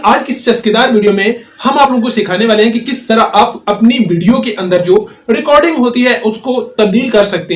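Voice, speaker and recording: Male tutorial host, Pakistani accent, high-pitched voice, walking viewers through app steps. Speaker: host of a tech tutorial channel. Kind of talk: scripted-style phone app tutorial narration.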